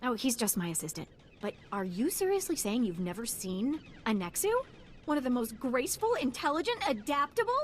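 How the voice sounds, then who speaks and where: A woman playing a passionate, impatient creature researcher in a video game, lecturing briskly. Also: on the high side, big pitch swings, slight background noise. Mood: indignant, enthusiastic.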